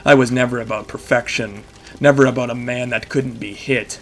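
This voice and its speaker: A man's voice: medium pitch, steady tone, normal speed, a bit bright, natural voice. An actor playing a battered vigilante who narrates his own story in intense, clipped phrases, in the style of an audio drama. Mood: grim resolve. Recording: music behind the voice. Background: dramatic music.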